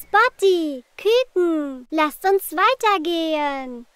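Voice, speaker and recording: A woman voicing a cheerful, helpful little girl in a children's cartoon, encouraging young viewers clearly. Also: very high-pitched, lively intonation, takes it slowly, fairly bright tone, no echo, heavily acted. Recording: music under the voice. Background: children's music.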